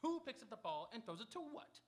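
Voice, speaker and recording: Performer playing an exasperated, excitable comedy questioner. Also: mid-range voice, quick pace, natural voice. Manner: insistent questioning that rises into indignant outbursts. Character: exasperated, confused baseball fan in a vaudeville comedy routine. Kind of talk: live radio theatre comedy double act. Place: auditorium stage, live radio theatre production.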